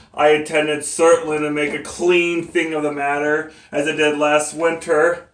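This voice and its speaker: Stage monologue: male actor as condemned abolitionist captain, defiant and devout, tense bright voice, deliberate slow emphatic delivery. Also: fairly high pitch. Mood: solemn, resolute. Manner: halting, emphatic oratory with stumbles. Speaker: poet and playwright performing a monologue. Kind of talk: dramatic courtroom speech, theatrical monologue. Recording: echoey room, distant microphone.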